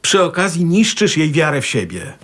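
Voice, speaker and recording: An actor playing a middle-aged husband and father in a naturalistic radio drama, husky and reproachful, with pointed, probing questions. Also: a little high, big pitch swings, normal speed, a bit bright. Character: calm, needling husband and father of a teenage daughter.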